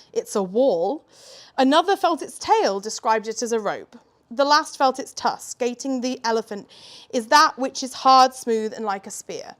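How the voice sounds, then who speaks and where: A woman giving a live presentation at a tech meetup, chatty and informal, with fillers and little laughs. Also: on the high side. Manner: says she has quite a loud voice. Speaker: guest speaker at a tech meetup.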